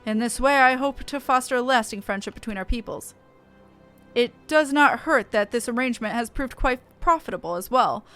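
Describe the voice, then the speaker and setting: A woman's voice: on the high side, moderate pace, fairly bright tone, faint music behind. A woman voicing a genteel male merchant chairman from a fantasy game, courteous and idealistic, in formal, grandiose speech. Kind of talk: reading game dialogue aloud.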